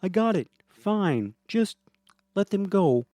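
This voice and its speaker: A man puts on a tiny shrunken father in a comic horror tale. He is high-pitched, plucky and defiant, with a lively swooping delivery. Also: takes it slowly, no echo, heavily acted. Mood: resigned. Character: tiny plucky dad shrunk into a blue creature.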